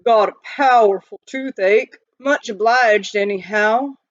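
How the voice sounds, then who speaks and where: A woman voicing a gruff, plain-spoken male miner in a storybook, in folksy, clipped frontier talk. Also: mid-range voice, slow, lightly acted. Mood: curt. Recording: dull lo-fi close recording.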